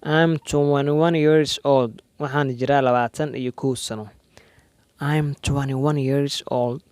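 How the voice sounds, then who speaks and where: Somali-accented guy drilling english phrases, slow careful repeats then quick explaining, dry close mic. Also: medium pitch, fairly even intonation, a little mellow, clean tone, dry recording. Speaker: language teacher.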